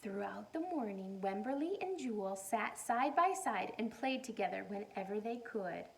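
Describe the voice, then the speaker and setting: A woman teacher reading a picture book aloud to young children, animated and encouraging, often putting questions to her listeners. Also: medium pitch, vocal fry, slight background noise. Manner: encouraging, animated, asks questions and pauses for answers.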